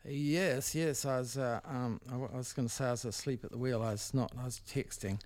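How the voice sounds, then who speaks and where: An older man with a New Zealand accent, casually hosting a music show in a halting, rambling, unscripted way. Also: medium pitch, fairly even intonation, darkish tone, slight background noise. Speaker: radio music show host. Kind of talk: casual unscripted radio back-announcing. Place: dry radio studio.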